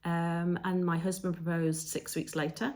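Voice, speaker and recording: English woman in her fifties, co-hosting a podcast, low-pitched, easygoing storyteller. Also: little pitch movement, normal speed, darkish tone. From England. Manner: chatty storytelling with frequent ums. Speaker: podcast co-host. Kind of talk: casual conversational podcast.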